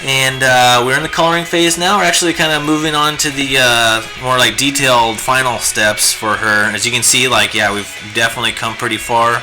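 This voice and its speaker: A hoarse, raspy man chatting casually and rambling with lots of fillers while he draws on a live stream. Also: medium pitch, steady tone, brisk, hardly any pauses, low-quality recording. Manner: laid-back, rambling, many fillers. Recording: music playing behind the voice. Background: guitar music.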